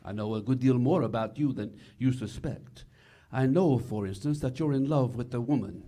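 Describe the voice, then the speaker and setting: An actor playing a noble, earnest resistance leader, formal and measured, in 1940s radio theater style. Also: male voice, medium pitch, mellow, lightly acted. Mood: grave, knowing.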